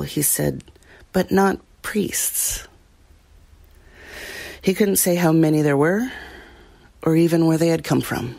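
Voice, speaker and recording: A woman's voice: deep voice, vocal fry, darkish tone, dry recording, full character voice. An actress playing a troubled woman recounting a frightening ordeal in an audio drama, reflective and hesitant.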